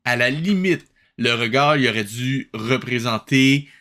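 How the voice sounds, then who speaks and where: A man's voice: medium pitch, a little slow, sharp tone, slight background noise. A man with a Québécois accent hosting a reaction show, giving casual, incredulous and colloquial running commentary.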